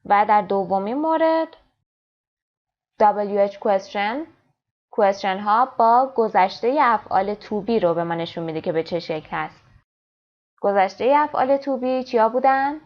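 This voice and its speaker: A woman teaching English grammar, explaining fast in Persian and reading English example sentences slowly and clearly. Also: medium pitch, lively intonation, muffled recording. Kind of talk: grammar lesson.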